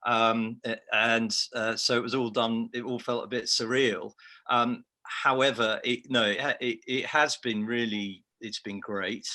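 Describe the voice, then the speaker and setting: A man's voice: on the low side, pushed and bright. A man with a Liverpool accent, an award-winning researcher, giving a reflective, self-deprecating answer in a remote interview. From Liverpool, England.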